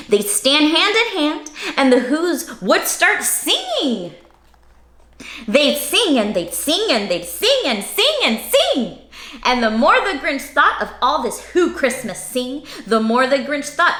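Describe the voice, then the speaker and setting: A woman's voice: fairly high pitch, a bit bright, reverberant. A woman hosting story time, reading aloud to children in a theatrical, playful and interactive way. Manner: theatrical, playful, addresses young listeners. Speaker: story time host and reader.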